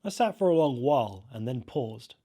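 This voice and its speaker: A man's voice: mid-range voice, lively intonation, normal speed, mellow, slightly rough. A man telling a first-person horror story in a dramatic storytelling style. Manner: acts out dialogue. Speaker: story narrator.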